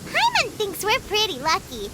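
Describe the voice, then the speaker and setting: A tiny floating companion girl from an anime-style video game. Her voice is extremely high, squeaky and childlike, bouncing around with cheeky, blunt chatter. Loud rain and ambience run behind her. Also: wildly swooping pitch, moderate pace, heavy background noise, heavily acted. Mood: chatty, earnest. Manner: chatty, blunt, refers to herself by name.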